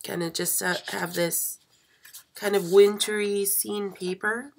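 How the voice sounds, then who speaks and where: A woman who is sick and losing her voice, hosting a live stream craft demo at a table and talking slowly with many fillers and pauses. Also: medium pitch, steady tone, vocal fry. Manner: slow, hesitant, many fillers, soft. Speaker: craft channel host. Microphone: overhead camera, hands only.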